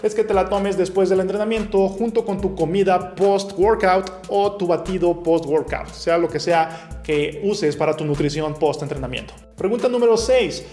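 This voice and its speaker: A young man with a high, light voice explains nutrition to the camera, setting out each answer in clear, orderly steps. His voice rings a little in a roomy space, and soft music plays faintly underneath. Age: young adult. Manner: clear, methodical, answers questions one by one. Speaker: nutrition educator. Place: small room at home. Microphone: camera facing him at close range.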